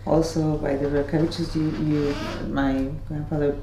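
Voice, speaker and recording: A woman's voice: deep voice, flat delivery, moderate pace. A middle-aged woman prompting and explaining in conversation, sometimes searching for English words. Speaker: survivor's relative helping with the testimony. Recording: echoey room with clear background noise.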